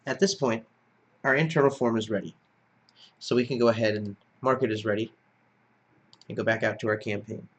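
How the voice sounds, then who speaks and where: A man's voice: on the low side, fairly even intonation, fairly quick, long pauses, husky, dull audio. A man giving step-by-step screencast narration as an instructor, in a plain-spoken, explanatory manner. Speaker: software tutorial instructor.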